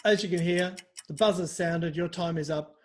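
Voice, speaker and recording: High-voiced man, teasing mock-formal host. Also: steady tone, moderate pace, loud music behind the voice. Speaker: interview host. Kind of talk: scripted quick-fire comic interview.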